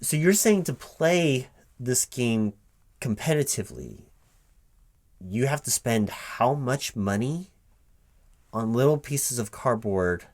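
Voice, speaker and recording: A man playing a recruiting manager in a one-sided spoken interview roleplay, halting and puzzled as he repeats things back as questions. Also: mid-range voice, animated, a little mellow.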